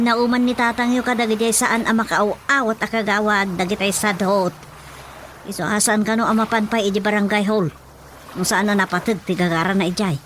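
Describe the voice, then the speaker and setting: A woman's voice: mid-range voice, fairly bright tone, a little hiss or hum, natural voice. An actress playing a fretful, plain-spoken older mother who complains matter-of-factly in an Ilocano radio drama comedy. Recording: music behind the voice.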